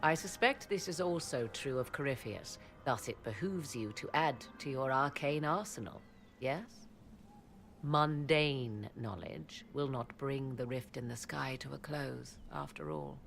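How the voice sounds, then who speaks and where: A woman's voice: extremely low pitch, lively intonation, a little slow, gravelly, fairly bright tone, faint music behind, heavily acted. A woman voicing an aloof, sardonic apostate sorceress in a fantasy video game, with arch, theatrical, archaic phrasing. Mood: persuasive, mysterious.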